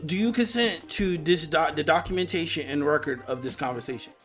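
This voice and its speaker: Male, twenties, higher-pitched voice, fed-up and combative live-chat host, slangy and sweary, faint music underneath. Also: a little fast, fairly bright tone. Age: 20s. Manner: exasperated, confrontational, slangy and sweary. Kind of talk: casual argumentative live conversation.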